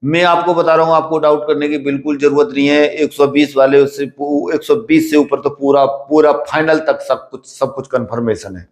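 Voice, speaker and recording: A man's voice: on the high side, steady tone. Man coaching in an echoey room. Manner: repetitive, halting, with filler phrases and check-questions; instructive, paternal address to students. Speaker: coach at a physical training academy for police and army recruitment. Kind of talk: informal advice talk to exam candidates.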